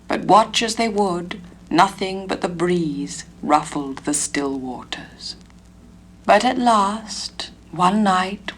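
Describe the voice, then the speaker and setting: An English woman reading a folk fairy tale aloud for a spoken-word record, gentle and measured. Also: mid-range voice. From England. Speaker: narrator.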